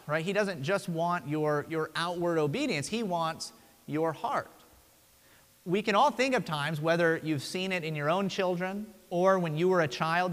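A man's voice: a little high, a bit bright, long reverb tail. A man in his thirties preaching a conversational sermon from a pulpit in a church sanctuary, often checking in with "right?". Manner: conversational, frequent tag "right?". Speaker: pastor.

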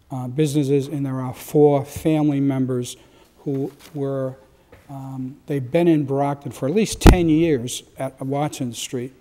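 A man in his sixties giving halting, semi-formal testimony to a council at a podium microphone. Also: mid-range voice, dark tone. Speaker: real estate broker addressing a council. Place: large council chamber. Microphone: gooseneck microphone on a podium. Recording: reverberant council chamber.

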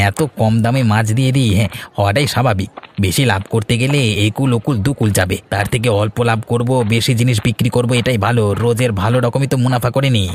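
A man's voice: mid-range voice, slight background noise, lightly acted. A man voicing a talkative fishmonger in a cartoon, contented and self-satisfied about his trade. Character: chatty, proud male fishmonger, content and grateful. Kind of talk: Bengali cartoon dialogue and monologue.

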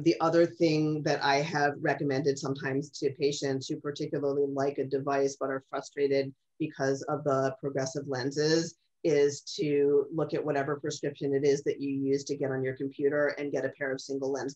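Middle-aged lady specialist on a webinar, strong low voice, pretty even, bit dull video call sound. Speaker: speech-language specialist on a panel. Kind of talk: webinar question-and-answer. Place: small office, indoors.

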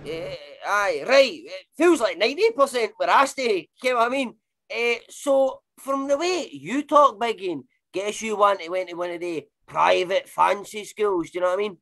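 A man's voice: high-pitched, animated, normal speed, fairly bright tone. Guy playing a working class glasgow bloke, sweary slangy banter, comedy sketch. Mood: blunt banter. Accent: Glaswegian Scottish. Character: blunt, sweary working-class Glaswegian actor. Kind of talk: comedy audio sketch acting.

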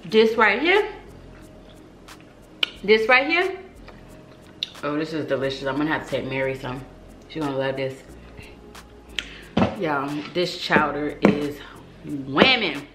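A woman's voice: deep voice, big pitch swings, slow, long pauses, heavy background noise. A young American woman vlogging about her cooking in an informal, conversational way. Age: young adult. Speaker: vlogger, host. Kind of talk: casual cooking vlog talk. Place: home kitchen, indoors.

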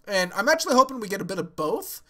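A young man hosts his reaction video from a small bedroom, speaking into a headset. His voice sits high and bright, though a stuffy nose and sore throat muffle it. He rambles casually, laughing and restarting his sentences. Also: sing-song, fast. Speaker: anime reaction host. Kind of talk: casual chat to camera. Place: small bedroom with yellow walls.

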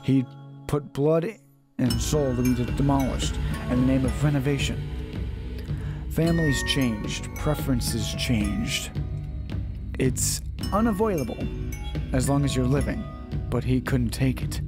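A man's voice: medium pitch, animated, measured pace, pauses often, loud music behind the voice. A man reading a visual novel aloud as dramatic narration, often stumbling and rereading his lines.

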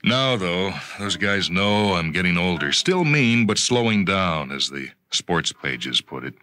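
A man with a very low, bright and forceful voice reads first-person fiction. His delivery is conversational and emphatic, and the sound is close and dry. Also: dry recording. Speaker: narrator. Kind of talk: dramatic first-person short story reading.

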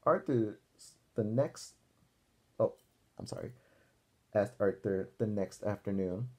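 A young man reading a picture book aloud to children like a teacher, pausing to ask questions. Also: fairly low pitch, a little mellow. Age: young adult. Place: small room indoors.

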